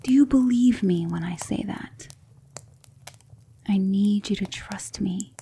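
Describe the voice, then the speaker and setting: A woman playing a gentle, patient demon mentor in an ASMR roleplay, coaxing her student with soft, deliberate instruction that often drops toward a whisper. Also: medium pitch, gravelly, a little hiss or hum. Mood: hushed, coaxing. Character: gentle female demon mentor teaching magic, patient, reassuring.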